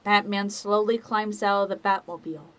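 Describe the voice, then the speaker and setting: A woman giving scripted dramatic narration as the narrator of a story, in a measured, deliberate manner. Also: mid-range voice, steady tone.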